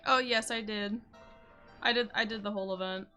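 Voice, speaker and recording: A woman's voice: medium pitch, steady tone, moderate pace, sharp tone. A woman livestreaming a video game, chatting casually to viewers. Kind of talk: casual gaming livestream chat. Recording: game music behind voice.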